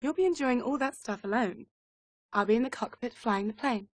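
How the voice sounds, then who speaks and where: A woman's voice: a little high, a little fast, no echo, close to an everyday voice. A woman playing a confident, flirtatious socialite pilot in a video game, playful and self-assured. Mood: teasing. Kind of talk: video game cutscene acting.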